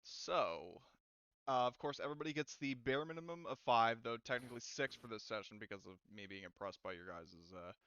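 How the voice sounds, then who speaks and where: Bright, clear-voiced man, slightly roomy. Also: medium pitch, expressive, a little fast, muffled recording. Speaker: game master. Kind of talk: casual post-game chat.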